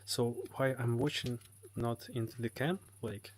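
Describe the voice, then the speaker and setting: A man's voice: mid-range voice, fairly even intonation, a little slow, slight background noise. A Ukrainian man with a Ukrainian accent, hosting a live stream and answering chat comments in hesitant English full of fillers. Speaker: livestream host. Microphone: phone.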